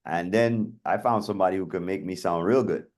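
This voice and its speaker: Deep-voiced Atlanta man telling stories. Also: fairly quick, darkish tone. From Atlanta, USA. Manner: chatty, lots of "you know" fillers. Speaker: guest DJ and producer. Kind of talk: casual interview storytelling.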